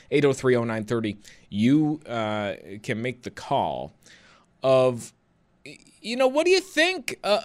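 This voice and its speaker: A man co-hosting a radio talk show, casual and joking, reading out the text line number. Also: a little high. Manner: joking, quick, laughs between lines. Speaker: radio host.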